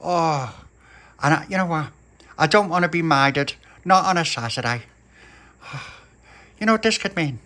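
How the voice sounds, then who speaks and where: A man's voice: fairly high pitch, expressive, a little slow, long pauses, bright, forceful tone, pitched above the performer's own voice. A man with a Liverpool accent voicing a fiery working-class mother who rants and sighs in indignation. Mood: exasperated. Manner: indignant ranting, sighing.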